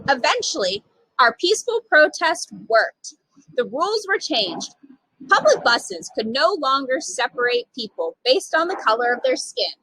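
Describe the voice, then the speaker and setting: Expressive high-pitched woman reading a storybook. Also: dry recording, rough recording. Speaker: storytime reader, literacy program manager. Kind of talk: expressive children's picture book read-aloud.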